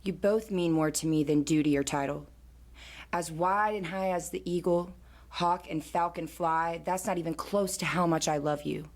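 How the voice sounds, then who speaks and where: A woman playing an older, dignified grandmother who leads her tribe with measured authority in an audio drama. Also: lowish voice, fairly even intonation, close to an everyday voice. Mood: solemn, loving.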